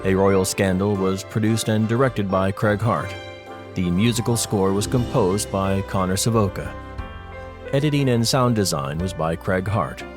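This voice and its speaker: Male announcer for scripted closing credits, lowish register, music bed clearly behind. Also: a little slow.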